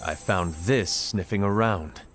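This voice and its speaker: An actor playing a stern, serious male leader who speaks in a measured, authoritative way. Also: low voice. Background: game score music.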